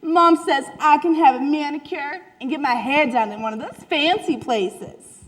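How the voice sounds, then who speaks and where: A woman's voice: sits high, moderate pace, pitched above the performer's own voice, lightly acted. A teenage girl playing an offhand, pampered classmate. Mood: breezy.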